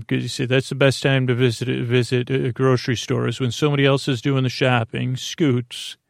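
A man with a very creaky, gravelly voice rambles through a bedtime podcast monologue. His pitch stays quite flat as he hesitates, doubles back and meanders. The sound is close and dry. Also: dry recording. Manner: hesitant, meandering, halting, trailing off. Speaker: podcast host.

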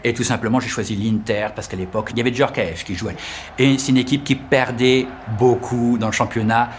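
A French man in his fifties, a tour guide answering interview questions casually, pausing often with fillers and restarting his phrases. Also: mid-range voice, steady tone, slight background noise. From France. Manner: hesitant, with many fillers and restarts.

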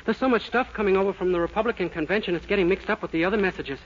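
Man playing a high-voiced naive young fellow. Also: very fast, natural voice. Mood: earnest, cheerful. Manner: earnest, innocent. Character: naive, cheerfully dim young telegraph clerk. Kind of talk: 1940s radio sitcom acting. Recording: dull old radio recording, loud hiss, clicks.

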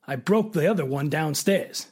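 An actor playing a smug private detective who is a cold killer in a radio mystery drama, with a raspy, gravelly voice and a coolly sardonic manner. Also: medium pitch, animated, moderate pace, darkish tone. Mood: coolly menacing.

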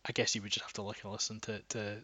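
A man talking as a podcast guest in a bright, forceful voice with little rise and fall. He rambles, restarting sentences and leaning on fillers. The sound is dry and close. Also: lowish voice, level tone, racing, slightly rough, no echo. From Scotland. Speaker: guest, metal band guitarist. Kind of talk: casual podcast chat.

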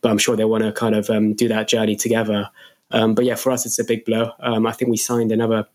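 A man's voice: on the low side, monotone, fast talker, a little mellow. A man co-hosting a casual football podcast, sounding a bit nasal from a cold. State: stuffy nose from a cold. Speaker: podcast co-host.

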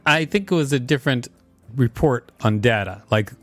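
A man co-hosts a podcast, reading an article aloud with a lively, swinging pitch and casual banter. The sound is dry, with no room around the voice. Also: normal speed, no echo, faint music behind. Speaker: podcast co-host.

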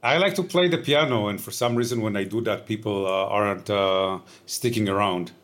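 Low-voiced Israeli man, measured. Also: fairly bright tone. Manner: measured, explanatory, pauses with "uh". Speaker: tech company founder, guest. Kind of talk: interview answers.

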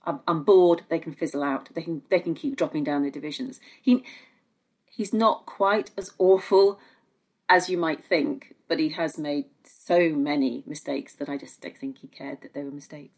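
Female guest pundit, British, slightly low register, conversational opinion with halting, repeated phrases. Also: steady tone, darkish tone. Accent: British English. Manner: hesitant phrasing, repeats words for emphasis. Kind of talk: conversational opinion, podcast discussion.

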